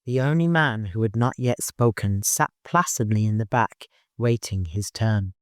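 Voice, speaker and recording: A man's voice: fairly low pitch, moderate pace, dry recording, clean studio sound. A man reading scripted literary fiction as an audiobook narrator.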